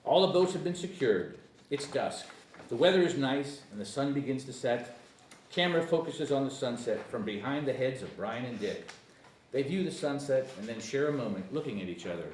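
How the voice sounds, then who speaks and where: A man's voice: medium pitch, lively intonation, moderate pace. A man reading scripted stage directions as narrator at a screenplay table read. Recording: echoey room, distant mic, faint noise.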